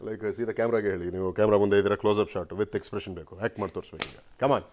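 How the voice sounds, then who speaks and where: Low-voiced man hosting a chat. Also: fairly even intonation, fast talker, a little mellow. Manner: prodding and encouraging, mixes in English words. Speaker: TV host. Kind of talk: casual interview chat with guests.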